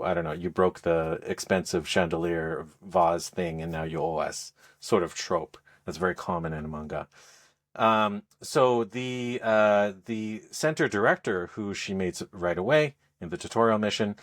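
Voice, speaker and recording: A man speaking as a podcast co-host, explaining things in a casual, halting, conversational review style. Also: low voice. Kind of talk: casual game review chat.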